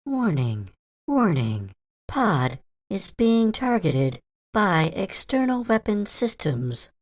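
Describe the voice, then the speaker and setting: A woman voicing an escape pod computer that gives measured warnings in a science fiction game. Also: mid-range voice, sing-song. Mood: urgent warning.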